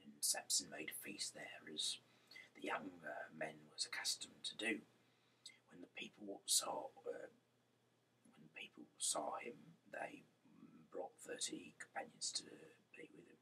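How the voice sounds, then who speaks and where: A man reads scripture aloud in a very low, creaky voice with a tense, sharp edge. His delivery is halting and breathy, with stumbles and restarts. He sounds some distance from the microphone. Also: in a whisper. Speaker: reader, host of a Bible-reading series. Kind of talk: amateur scripture read-aloud.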